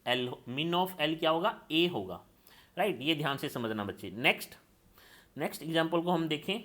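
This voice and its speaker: A man's voice: a little high, expressive, moderate pace, bright, forceful tone. A male lecturer teaching a class step by step, asking questions and answering them himself. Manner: calls students "children" and checks they follow; steps through examples, asking and answering his own questions.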